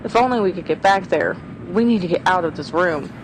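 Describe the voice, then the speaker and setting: A woman playing a sharp schoolteacher sleuth, noisy recording. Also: fairly low pitch, a little fast, natural voice. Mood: tense. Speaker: voice actor. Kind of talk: old-time radio drama acting. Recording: noisy radio drama recording.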